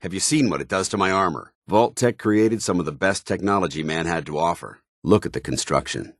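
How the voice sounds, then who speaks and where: An actor playing a stern, disciplined armored soldier in a video game, speaking in formal, clipped military phrases. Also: male voice, sits low, lively intonation, brisk, no room sound, clean studio sound. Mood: earnest. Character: stern, dutiful armored soldier paladin, grown man, devout and disciplined. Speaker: companion character. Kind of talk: scripted video game dialogue.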